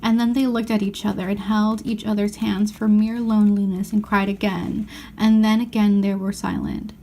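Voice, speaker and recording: A woman reading a children's novel aloud as an expressive storyteller. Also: mid-range voice, normal speed, vocal fry, slight background noise, rough recording. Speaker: storybook reader.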